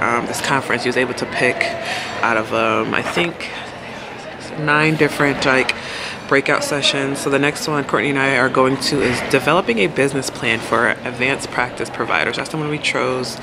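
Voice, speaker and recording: A woman in her thirties, a nurse practitioner, chatting to viewers in a raspy voice from a cold. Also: extremely low pitch, noisy background. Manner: chatty, addresses viewers directly. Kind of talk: casual vlog chat. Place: parked car and conference building. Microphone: handheld phone at arm's length.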